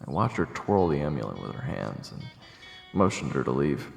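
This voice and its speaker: A man playing a calm, courteous investigator in an audio drama, measured and low-key, with a creaky voice. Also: exaggerated voice. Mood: reflective. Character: calm, courteous male investigator telling his own tale. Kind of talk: audio drama dialogue and first-person narration. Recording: echoey, long reverb, music behind.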